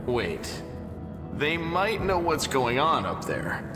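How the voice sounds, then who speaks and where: A man's voice: a little high, big pitch swings, slow pace. An actor playing a wry, self-deprecating mongrel man from underground in a video game, with a sardonic, conversational manner. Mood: urgent. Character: wry, self-deprecating male mongrel cave-dweller with horns. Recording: echoey hall, music behind.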